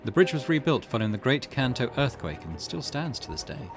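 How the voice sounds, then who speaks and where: A man hosting a let's play, reading a visual novel aloud and thinking out loud in between. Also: medium pitch, sing-song, a little fast. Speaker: gaming channel host. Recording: music under the voice. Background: game music.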